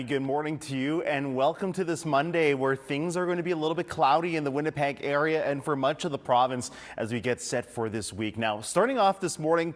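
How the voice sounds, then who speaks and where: A man presenting weather and traffic in a conversational broadcast style. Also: mid-range voice. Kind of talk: unscripted-sounding broadcast forecast presentation. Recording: long reverberant room sound.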